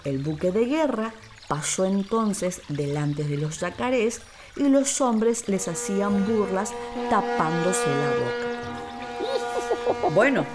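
A woman storyteller narrating for children in an expressive, dramatic way, with deliberate, emphatic phrasing. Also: mid-range voice. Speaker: actress, storyteller. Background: music and water sound effects.

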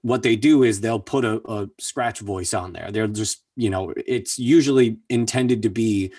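A man with a somewhat low, husky voice shares his career story in an earnest, energetic way and often repeats words for emphasis. The recording is dry and picks him up from a little way off the mic. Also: normal speed, dry recording. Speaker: voice actor guest. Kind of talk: casual interview storytelling. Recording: distant mic, dry sound.

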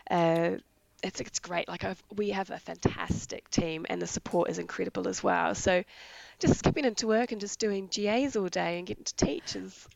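A woman from New Zealand with a raspy voice from being sick, eagerly explaining her work in veterinary anaesthesia in a casual interview. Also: medium pitch, normal speed, a little mellow, no room sound, highs cut off. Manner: enthusiastic, explains jargon in plain terms. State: raspy voice from illness.

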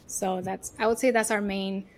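A woman with a Venezuelan Spanish accent talks through her work in a loose, chatty way. She sounds confident and upbeat, sprinkling her answers with little fillers. Her pitch sits in an ordinary middle range. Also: fairly even intonation, moderate pace. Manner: chatty, leans on "you know" and "like". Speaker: agency CEO, guest. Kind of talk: casual interview answers.

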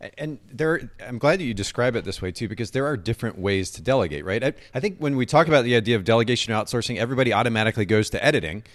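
A man's voice: medium pitch, expressive, very fast, few pauses. A man hosting a live-streamed podcast interview, enthusiastic and repeating words as he starts sentences. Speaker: podcast host.

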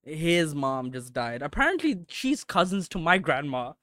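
A man's voice: on the high side, big pitch swings, measured pace, fairly bright tone, no room sound, studio quality. A young man from India in casual chat, swearing a lot, laughing often and telling loose stories.

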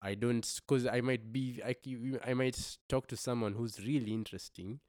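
A young man from Kenya, a musician guest on a podcast, talking thoughtfully with frequent pauses and restarts. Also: mid-range voice, fairly even intonation, darkish tone, no room sound, clean studio sound. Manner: thoughtful, hesitant with many restarts. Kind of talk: reflective podcast conversation.

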